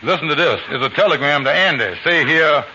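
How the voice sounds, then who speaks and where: A man's voice: on the high side, animated, a little fast, bright, forceful tone, full character voice. An actor playing a scheming con man in a 1940s radio comedy, coaxing and persuasive, always talking someone into a deal. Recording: lo-fi old radio recording with faint hiss.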